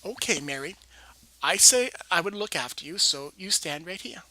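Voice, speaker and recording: A woman voicing a patient, weary male bus driver who speaks slowly and flatly. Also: fairly low pitch, poor audio. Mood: deadpan. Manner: slow, flat, deadpan.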